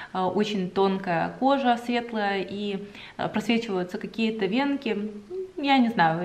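A young woman chatting to the camera at home, enthusiastic and talkative, with drawn-out hesitations. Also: mid-range voice, darkish tone. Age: young adult. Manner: chatty, enthusiastic about cosmetics, drawn-out fillers. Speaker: beauty vlogger. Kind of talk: casual chat to camera. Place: home room indoors.

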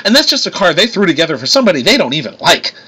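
A man reading the part of a blunt, sarcastic, sharp-tongued woman in an audiobook story. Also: on the high side, very animated, very fast, husky, voice pushed up, exaggerated voice. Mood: sardonic. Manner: sardonic, blunt, sweary. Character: sharp-tongued sarcastic woman, self-described fake goddess.

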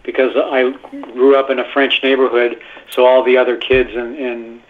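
A man's voice: medium pitch, little pitch movement, normal speed. A man from Canada, a guest actor, telling stories in a rambling way full of fillers. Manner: rambling, filler-heavy storytelling. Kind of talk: casual phone-in interview. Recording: tinny phone line.

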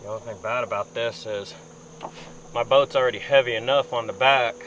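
A man's voice: medium pitch, fairly even intonation, fairly quick, fairly bright tone, low-quality recording. A young man talking casually to camera in folksy, informal phrasing, recorded outdoors in a small boat on a river. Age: young adult. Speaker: outdoor fishing vlogger. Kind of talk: casual vlog talk to camera. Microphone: camera mic at close to mid distance. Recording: faint outdoor ambient noise, insects.

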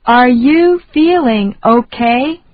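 A woman reading short phrases slowly and deliberately for learners to repeat. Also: high-pitched, lively intonation, dry recording, phone-quality sound. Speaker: English lesson reader.

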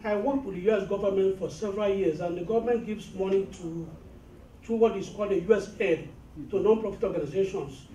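A man's voice: sits high, fairly quick, dark tone, slight background noise. A middle-aged man from Nigeria, a business owner, giving an earnest speech at a networking event in a hotel meeting room. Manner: earnest, advising. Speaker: business owner addressing fellows. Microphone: handheld mic. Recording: echoey room, mic from far off.

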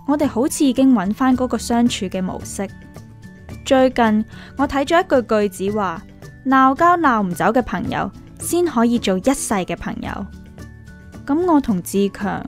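Young woman played by an actress, Hong Kong Cantonese, radio drama; sensible worrier, slightly high pitch. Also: lightly acted. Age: young adult. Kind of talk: naturalistic radio drama dialogue and narration.

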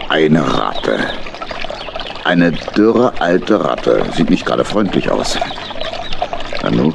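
A deep, gravelly old badger, muttering to himself with swinging intonation. He is a good-hearted homebody in a children's radio play, heard on a noisy old record. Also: exaggerated voice.

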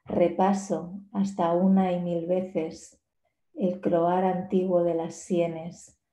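A woman in her forties reads poetry aloud, slowly and with care, in a fairly low, mellow voice. The sound comes from a laptop on a video call and has the roominess of a small bare room. Her delivery is measured and unhurried. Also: flat delivery. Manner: slow, measured poetry reading. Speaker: poet giving a talk. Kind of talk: reading poems aloud in a talk. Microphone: laptop webcam on a video call. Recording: echoey room.

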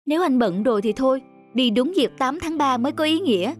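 A woman voicing a sensitive, expressive young woman, an emotional girlfriend in a cartoon. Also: high-pitched, brisk, soft background music. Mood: hurt. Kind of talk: cartoon dubbing.

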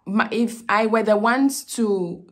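A woman's voice: on the high side, takes it slowly. A woman in her thirties with a Ghanaian accent, explaining visa steps to camera in a casual, repetitive way, often checking in with "okay?". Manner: repeats "okay?" often, many fillers and restarts. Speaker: vlogger giving visa advice. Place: small indoor room with plain wall.

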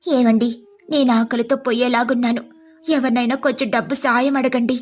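A woman voicing an excitable, supportive village wife in an expressive way for a moral story. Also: on the high side, normal speed, faint music behind, natural voice. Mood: distressed. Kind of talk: moral story character voices.

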